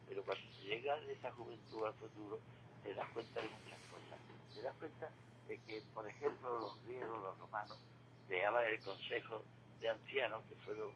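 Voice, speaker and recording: An older man giving a reflective radio monologue, halting and repeating words, with rhetorical questions. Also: fairly low pitch. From Spain. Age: 60s or older. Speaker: retired regular contributor giving commentary. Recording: clearly noisy radio feed.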